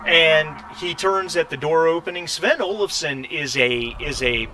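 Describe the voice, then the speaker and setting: A man acting as game master, narrating a tabletop role-playing game in a halting way, searching for words as he describes scenes. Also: medium pitch, bright, forceful tone, a little hiss or hum.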